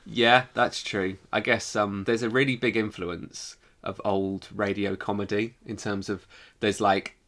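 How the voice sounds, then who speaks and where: Bright, low-pitched male podcast co-host. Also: flat delivery, measured pace, few pauses. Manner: informal, mildly sweary. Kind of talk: casual review chat.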